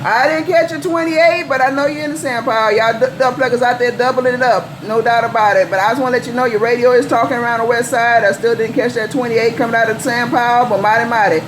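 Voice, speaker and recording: A woman's voice: on the high side, fairly even intonation, fast, few pauses. An American woman working as a CB radio operator, bantering in folksy slang and repeating calls, handles and sign-offs. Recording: noisy live CB radio setup.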